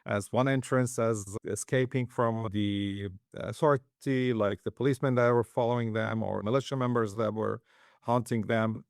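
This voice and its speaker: Man from Syria, interview guest, lowish voice, steady measured delivery, dry close mic. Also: smooth, no echo. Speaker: guest, humanitarian health worker. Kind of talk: interview answers.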